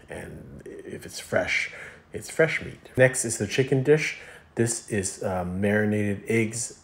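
Deep-voiced man reviewing food. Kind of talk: casual review voice-over.

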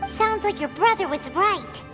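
A woman voicing a tiny, excitable childlike fairy companion in a video game, squeaky and perky. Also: a little slow. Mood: curious, chirpy. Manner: perky, speaks of self in third person. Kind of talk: scripted video game dialogue. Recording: loud music under the voice.

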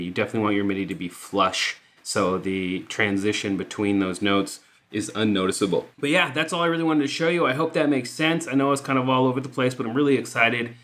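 A man hosting a music software tutorial, explaining informally and thinking aloud as he goes. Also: mid-range voice. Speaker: music production tutorial host.

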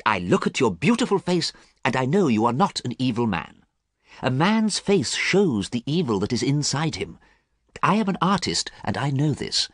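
A man reading as an earnest artist who talks quietly and sadly to a friend he fears for. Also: medium pitch, sing-song, normal speed, no room sound, studio quality, pitched above the performer's own voice. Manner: quiet, pleading, sad. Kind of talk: audiobook character reading.

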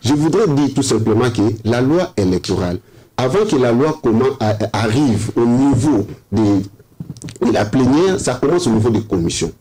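Animated, insistent Congolese man debating. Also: medium pitch, moderate pace, rough recording. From Democratic Republic of the Congo. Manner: emphatic, insistent, repeats himself. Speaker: political debate panelist. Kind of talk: argumentative televised debate. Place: TV studio round table. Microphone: desk microphone.

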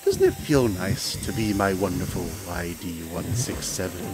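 A man's voice: low voice, expressive, moderate pace. A man playing a gloating hyena mad scientist. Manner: silky, condescending and theatrical, with frequent chuckles. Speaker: villainous scientist narrating to the listener. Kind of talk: hobby audio play. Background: background music.

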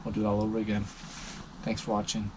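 A fishing charter captain in his thirties talking to camera at the end of a long day, tired, calm and grateful, with plenty of ums and uhs. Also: lowish voice, quick pace, very noisy. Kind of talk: casual vlog talk to camera. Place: parked vehicle at night. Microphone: action camera mounted close.

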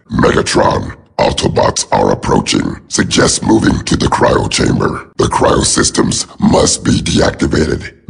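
An actor playing a cold, methodical giant robot soldier in a video game, deadpan and formal, with a raspy voice through a robotic filter. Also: male voice, extremely low pitch, full character voice. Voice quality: raspy, gravelly. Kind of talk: video game voice acting, clipped reports.

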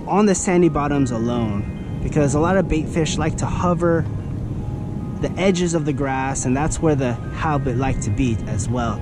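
A man in his thirties, presenting an instructional vlog in a casual, step-by-step manner. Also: fairly high pitch. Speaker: fishing vlogger presenting a tutorial. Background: background music.

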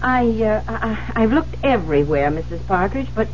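An actress plays an eager, deferential woman secretary in a seventies radio drama. She is earnest and hesitant, with lively intonation. The recording is slightly muffled with a faint hum. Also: medium pitch, normal speed, lightly acted. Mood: earnest, puzzled. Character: dutiful, fussy, eager-to-please female secretary. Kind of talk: 1970s radio drama acting. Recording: muffled, humming 1970s radio broadcast.

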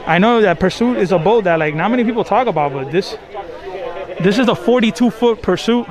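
A young man, live-streaming from a boat show in a relaxed, slangy way, worn out by the heat. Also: high voice, brisk. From Miami, Florida. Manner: casual, excitable, slangy, drops Spanish phrases. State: tired from the heat. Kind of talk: live-stream walkaround chat. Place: crowded outdoor boat show docks and boat cabins. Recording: busy crowd noise behind. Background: crowd chatter.

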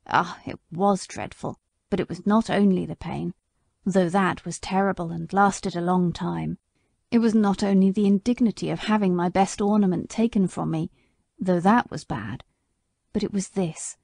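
A woman voicing a bitter, indignant old male horse in an audiobook, speaking slowly in a low, grave way. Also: vocal fry, darkish tone, no room sound, clean studio sound. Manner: slow, low, grave delivery. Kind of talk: audiobook character voice.

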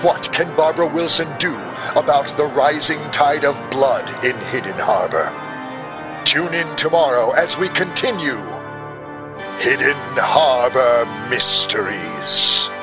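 A man's voice: medium pitch, animated, measured pace, lightly acted. A man playing an ominous, melodramatic narrator of an old-time radio serial. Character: dramatic, ominous serial narrator teasing the next episode. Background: music.

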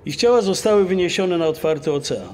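A male investigator played by a man delivers a firm official statement in a dramatised true crime story. His voice is somewhat high. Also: quick pace, a little mellow, a little hiss or hum, natural voice. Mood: formal, firm.

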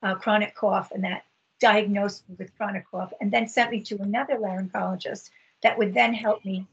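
A middle-aged woman with a husky voice telling her own story on a video call, earnest and halting with many fillers. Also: mid-range voice. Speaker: patient advocate. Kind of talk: personal story in a video meeting. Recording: dull lo-fi video call audio.

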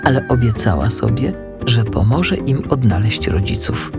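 A man narrating a children's audiobook in an expressive, dramatic storytelling manner. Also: medium pitch, normal speed, loud music behind the voice.

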